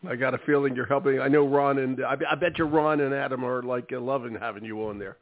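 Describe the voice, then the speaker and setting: Fast-talking male host on a tinny line. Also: mid-range voice, fairly even intonation, no echo. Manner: friendly, quick, interjecting, informal. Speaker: show host. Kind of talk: interview talk show. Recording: tinny call audio, dry.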